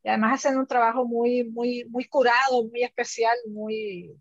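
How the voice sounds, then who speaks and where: A woman's voice: a little high, steady tone, no echo. Courteous woman, dull call audio. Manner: courteous, measured, thoughtful. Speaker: guest author. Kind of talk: casual chat. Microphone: video call.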